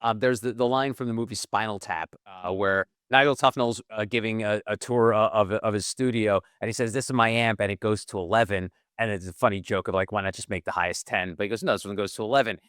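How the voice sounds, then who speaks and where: A man hosting a podcast asks questions and chats in a relaxed, conversational way, with frequent fillers. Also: on the low side, quick pace, hardly any pauses, clean tone, no echo, studio quality. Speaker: podcast host. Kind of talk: casual interview chat.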